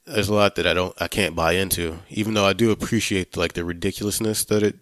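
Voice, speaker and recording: A man co-hosting a film podcast, talking casually and haltingly as he searches for words, with some swearing. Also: sits low, steady tone, a bit bright, no echo. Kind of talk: casual film review discussion.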